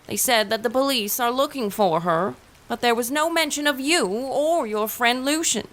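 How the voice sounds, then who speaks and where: A woman's voice: a little high, normal speed, a bit bright, close to an everyday voice. An actress playing an affectionate, teasing sister in a period audio drama, reading her letters aloud with composed, formal diction. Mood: worried, earnest.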